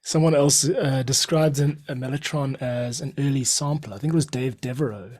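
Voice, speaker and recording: A man's voice: medium pitch, moderate pace, darkish tone, no echo. A man hosting a podcast, casually coaching his guest through a recording and encouraging him. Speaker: podcast host.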